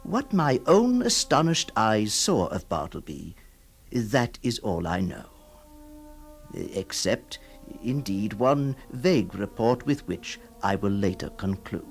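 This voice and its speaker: A man's voice: fairly low pitch, sing-song, slow pace, creaky, faint music behind. A man playing a flustered middle-aged lawyer who narrates and stammers, in an old radio drama. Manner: hesitant, stammering, fussy. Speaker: narrator and lead actor.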